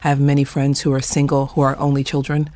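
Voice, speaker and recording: A woman speaking as a panelist in an earnest, reflective discussion, emphatic and deliberate, repeating phrases for weight. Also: very deep voice, monotone, dark tone.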